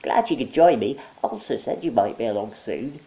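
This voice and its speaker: A man's voice: medium pitch, animated, normal speed, mellow, pitched above the performer's own voice, lightly acted. A man voicing a genial, chatty monkey who plays the polite office host in a story. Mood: genial, welcoming.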